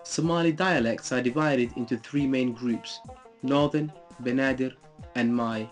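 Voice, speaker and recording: A man reading scripted documentary narration in a measured, factual way. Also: mid-range voice, soft background music.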